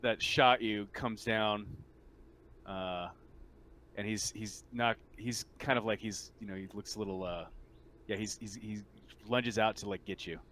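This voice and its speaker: A man casually improvising narration as game master of a tabletop game, in a halting, informal way. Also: lowish voice, steady tone, a bit bright, a little hiss or hum.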